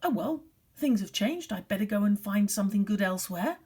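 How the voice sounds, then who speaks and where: A woman's voice: medium pitch, lively intonation, fairly quick, darkish tone, breathy edge. A woman voicing cheerful, practical mice in a children's story, breezy and unbothered. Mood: breezy, content. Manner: breezy, unbothered, matter-of-fact.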